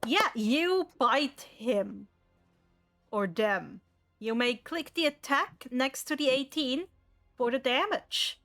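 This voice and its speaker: High-voiced woman running a game, unhurried. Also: animated, fairly bright tone, faint music behind. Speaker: game master. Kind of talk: running an online tabletop roleplay game.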